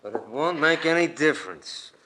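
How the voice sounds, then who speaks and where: Sharp, menacing hired gunman. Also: on the high side, expressive, moderate pace, a little hiss or hum, poor audio. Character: greedy, menacing hired gunman, tough crook. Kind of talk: 1940s-50s radio drama acting.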